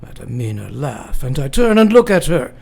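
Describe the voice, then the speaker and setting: An actor playing a grave, devoted elderly foreign professor in a radio drama, speaking solemnly and deliberately in broken grammar. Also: male voice, medium pitch, sing-song, gravelly, darkish tone, breathy edge, heavily acted. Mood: solemn, fearful. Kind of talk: radio drama acting, dramatic journal narration.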